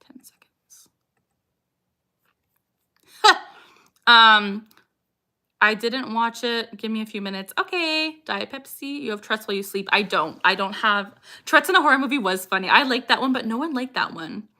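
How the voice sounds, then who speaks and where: A young woman hosts a casual live Q&A, reading out questions and chatting in an upbeat way. Her voice is bright and pitched a little high. She laughs often, and sudden vocal tics cut into her sentences. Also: expressive, normal speed, long pauses. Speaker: livestreamer answering chat questions. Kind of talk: casual livestream Q&A chat.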